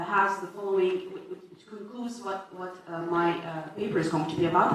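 A woman from Lebanon with a Lebanese accent presenting an academic paper, halting with many fillers and self-corrections. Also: medium pitch. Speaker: conference speaker. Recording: echoey hall from far off.

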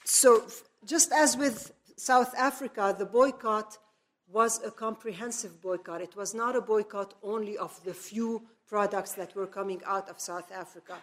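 A middle-aged woman from Lebanon giving a semi-improvised public lecture, phrasing deliberately and stressing key words. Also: medium pitch, fairly even intonation. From grew up in Lebanon. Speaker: speaker, activist lecturer.

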